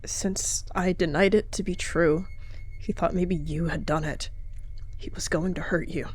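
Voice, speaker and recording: A woman voicing a secretive she-cat warrior who speaks in a tense, hushed, defensive way. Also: lowish voice, steady tone, a little slow, husky. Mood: shaken.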